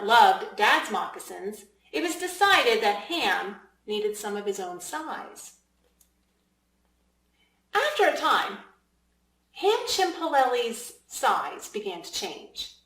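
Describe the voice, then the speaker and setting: A woman's voice: a little high, very animated, slow, a bit bright. Echoey storybook read-aloud by a woman. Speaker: children's author reading aloud. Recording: echoey room.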